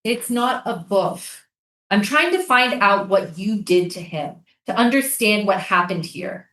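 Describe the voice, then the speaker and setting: Lady acting an earnest stubborn writer in a staged reading, medium pitch, roomy echo. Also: expressive, a little slow, a bit bright, recorded from a distance. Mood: insistent. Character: earnest, persistent woman writer returning to question a military prison. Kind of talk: staged play reading, dramatic dialogue.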